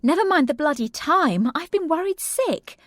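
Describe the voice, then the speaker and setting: A woman voicing an exasperated, bossy young woman friend, sharp and scolding. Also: medium pitch, fairly quick, dry recording, studio quality, close to an everyday voice. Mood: angry.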